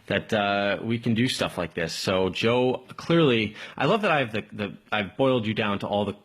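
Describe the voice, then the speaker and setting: A man hosting a casual live call-in tech stream, rambling along in an informal, enthusiastic way with a slightly husky voice. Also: lowish voice, fairly bright tone. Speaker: live tech show host.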